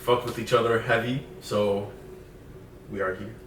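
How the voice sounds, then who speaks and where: A man's voice: on the low side, fairly even intonation, measured pace, a bit bright. A man in his twenties hosting a casual chat, informal and slangy, echoing phrases. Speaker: podcast host. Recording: echoey room, distant, faint noise.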